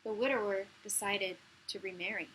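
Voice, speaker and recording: A woman reading a children's story aloud as a teacher, in an animated, emphatic way with key words drawn out. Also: mid-range voice, normal speed, poor audio. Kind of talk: expressive children's read-aloud narration.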